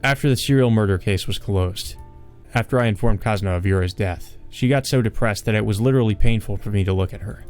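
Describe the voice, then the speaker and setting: A man's voice: on the low side, fast, darkish tone. A man hosting a let's play, reading a visual novel aloud and adding casual asides. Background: game music.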